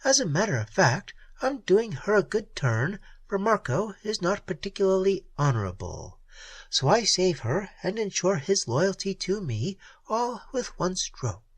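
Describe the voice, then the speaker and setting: A man reading aloud and voicing a cool, mocking female space pirate queen in a softer, higher voice. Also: very animated, unhurried, dry recording, full character voice. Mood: coolly taunting. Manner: sweet, taunting, softly menacing.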